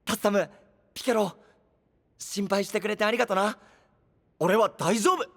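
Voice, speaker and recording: High-pitched, cheerful boyish guy. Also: moderate pace, gravelly, a bit bright, long reverb tail, full character voice. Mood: reassuring. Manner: casual, boyish, friendly. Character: cheerful, open-hearted, energetic boyish young man. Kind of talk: Japanese anime-style audio drama acting.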